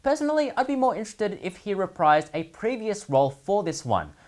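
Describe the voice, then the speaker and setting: A man's voice: high-pitched, very animated, fairly quick. A man presenting scripted comedic commentary as a show host, with a sardonic, mock-serious delivery of punchlines. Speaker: host of a gaming news segment.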